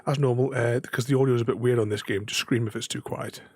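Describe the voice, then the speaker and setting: A man's voice: medium pitch, fairly even intonation, fast talker, darkish tone, slightly rough, dry recording, faint music behind. A man streaming a game who is losing his voice, rambling casually with many fillers and laughs. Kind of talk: casual gaming stream chat.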